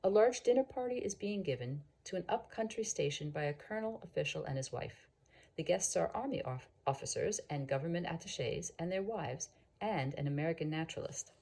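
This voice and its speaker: A woman who works as a teacher reads a story aloud in an expressive, explanatory manner. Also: low voice, gravelly. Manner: expressive, explanatory, pausing to gloss words. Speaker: teacher reading aloud for students. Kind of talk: scripted storybook read-aloud.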